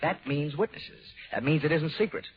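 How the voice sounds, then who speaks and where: A man's voice: on the high side, moderate pace, slight background noise, natural voice. Man acting a hard-boiled American radio detective, muffled sound. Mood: pressing. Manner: wry, cool, needling. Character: hard-boiled, wisecracking male insurance investigator and narrator. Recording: muffled old-time radio recording with music.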